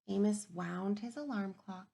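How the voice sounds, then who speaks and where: A woman teacher reading a picture book aloud to young children, thinking out loud and prompting them with questions. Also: medium pitch, unhurried, creaky.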